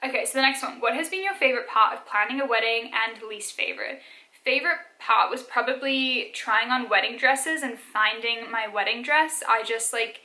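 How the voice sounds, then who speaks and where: Young woman in her twenties, mixed south african, aussie and american accent, bright voice, kinda high, chatty with lots of "like", echoey room. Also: moderate pace. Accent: mixed South African, Australian and American. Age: 20s. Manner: chatty, many "like" fillers, frequent light laughs. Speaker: vlogger. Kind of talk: casual Q&A vlog.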